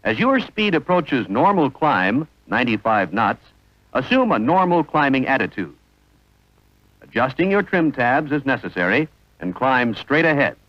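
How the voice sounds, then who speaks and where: A man's voice: mid-range voice, big pitch swings, normal speed, no room sound, lo-fi sound. A man narrating an instructional training film in a formal, precise manner on a vintage soundtrack.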